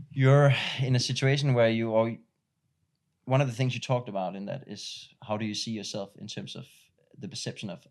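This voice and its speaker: A man hosting an interview, asking questions in a halting way with many restarts and fillers. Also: fairly low pitch, creaky. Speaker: interviewer, host.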